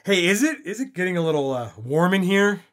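A man's voice: high voice, very animated, brisk, a bit bright. A man playing a suave, cocky CIA agent in an audio drama. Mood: dizzy, panicked. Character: suave, cocky male CIA agent romancing his mistress.

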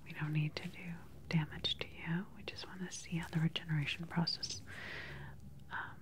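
A woman in her thirties whispers close to the microphone in a gentle, reassuring clinical manner, role-playing a doctor for ASMR. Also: deep voice, flat delivery, normal speed. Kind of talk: whispered ASMR medical exam roleplay. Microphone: close microphone, face near the camera.

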